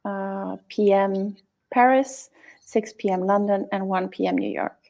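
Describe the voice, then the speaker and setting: Female co-host, mid pitch, gravelly texture, calm and measured in casual live chat.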